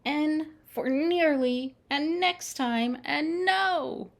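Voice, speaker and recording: A woman reading picture books aloud to young children in simple, measured phrases, with little asides to her listeners. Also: high-pitched, vocal fry. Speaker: children's storytime reader. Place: at home.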